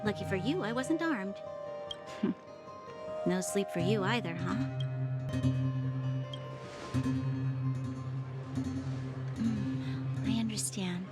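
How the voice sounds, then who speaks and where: A woman's voice: medium pitch, unhurried, close to an everyday voice. Woman acting a young woman soldier, dutiful, earnest, reflective, conflicted, game dialogue, music behind. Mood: wary. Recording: music in the background.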